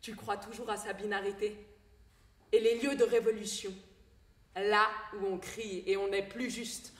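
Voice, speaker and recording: A woman's voice: medium pitch, a bit bright, roomy sound. A woman performing an emphatic, rhetorical poetic monologue on a theatre stage.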